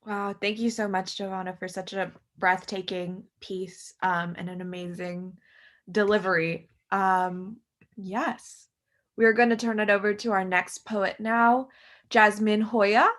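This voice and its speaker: A woman hosting a poetry event, introducing the next poet warmly with a few ums. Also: medium pitch, steady tone, a little slow. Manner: friendly, with filler ums. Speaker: event host. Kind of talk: introducing speakers.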